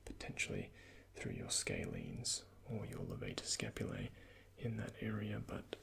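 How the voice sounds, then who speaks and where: A man speaks in a lowish, heavily creaky voice that often slips toward a whisper. He plays a doctor in an ASMR roleplay, gently guiding the patient with slow, courteous instructions, close on the mic. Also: wildly swooping pitch. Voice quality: breathy, often near-whispered. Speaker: ASMR performer playing a doctor. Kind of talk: soft-spoken ASMR medical roleplay, addressing the listener as a patient. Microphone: close microphone.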